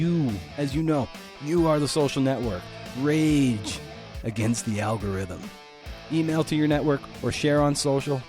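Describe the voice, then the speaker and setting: A man hosting a podcast in a casual, chatty way, with informal, slangy wording. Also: medium pitch. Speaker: podcast host.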